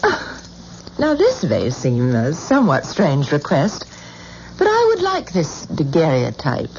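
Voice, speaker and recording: An anxious, determined wife. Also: female voice, low-pitched, wildly swooping pitch, a little slow, darkish tone, exaggerated voice. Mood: coaxing. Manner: urgent and anxious, pressing hard. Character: determined, frightened wife searching for answers. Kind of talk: 1970s radio drama acting. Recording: dull old radio broadcast with faint hiss.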